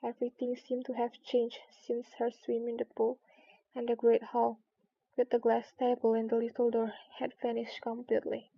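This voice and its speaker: A woman's voice: on the high side, completely flat delivery, normal speed, vocal fry, slightly rough, muffled recording. A woman narrating a children's storybook, reading it aloud.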